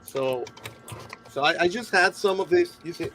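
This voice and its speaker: A man in casual show-and-tell chat, good-humoured, halting and repeating his words as he explains. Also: high-pitched, lively intonation. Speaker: hobbyist guest on the stream. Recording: faint noise, room ambience.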